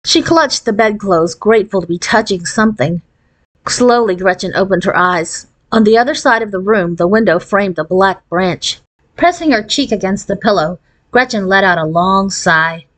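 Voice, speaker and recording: Give the voice of a woman narrating a children's novel aloud. Her pitch sits in the middle range, and her delivery is expressive storytelling. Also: normal speed, rough recording. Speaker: audiobook reader.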